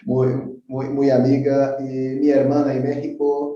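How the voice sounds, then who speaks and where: A man with a Brazilian Portuguese accent speaking Spanish as a second language, a guest talking in a halting way, repeating words and starting lines with "entonces". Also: medium pitch, completely flat delivery, faint music behind. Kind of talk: casual interview chat. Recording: remote call, distant muffled mic, very echoey room.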